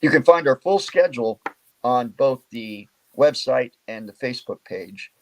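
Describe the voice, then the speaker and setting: A man's voice: mid-range voice, expressive, unhurried, no room sound, poor audio. A man from Memphis, Tennessee, a guest musician chatting and plugging his shows in a friendly way. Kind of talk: casual interview chat.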